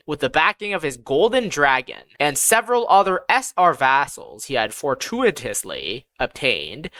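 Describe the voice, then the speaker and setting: Give this story to an audiobook narrator with a medium-pitched, bright voice and very animated intonation. The reading is scripted first-person storytelling, with pitch that rises and falls freely. The sound is close and dry, with little room on it. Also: no echo.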